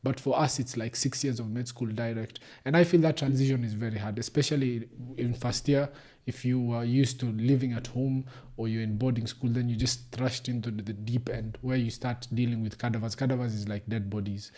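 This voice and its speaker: A man's voice: medium pitch, steady tone, fairly quick, warm tone. A young Kenyan man with a Kenyan English accent, a doctor talking casually as a podcast guest, explaining things patiently and slipping into Swahili phrases. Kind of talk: casual conversation.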